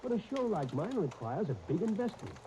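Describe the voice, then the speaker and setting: 1930s radio drama, faint noise, apologetic hard-pressed producer, hesitant, pleading, soft-toned. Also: male voice, sits high, big pitch swings, fairly quick. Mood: apologetic, strained. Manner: hesitant, pleading, self-justifying. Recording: old 1930s radio disc, crackling noise.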